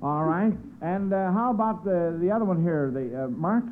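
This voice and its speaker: A man's voice: sits high, animated, normal speed, slight background noise. Tinny old-time radio quiz host, American man. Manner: folksy, hesitant, encouraging to children. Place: radio studio. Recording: tinny band-limited vintage radio broadcast.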